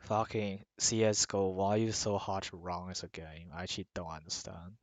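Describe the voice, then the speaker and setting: A young man from Sweden speaks English with a Swedish accent. His voice sits a bit low, and he trolls other players in a smug, mock-formal tone. The sound is dry and close, recorded at a gaming desk. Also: little pitch movement, a little fast, dry recording. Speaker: streamer. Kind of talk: trolling game-chat banter.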